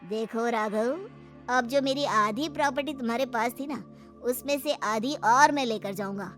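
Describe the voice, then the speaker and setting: A bossy, grasping older father-in-law played by a woman lays down threats in a moral tale. The voice is high, with faint music behind it. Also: moderate pace, a bit bright, natural voice. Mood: stern threat. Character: stern, grasping older father-in-law, protective of his daughter.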